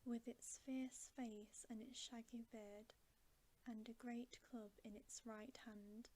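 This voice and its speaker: Slow, whispering woman reading a bedtime story. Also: on the high side, flat delivery, mellow. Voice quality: breathy whisper. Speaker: ASMR storyteller.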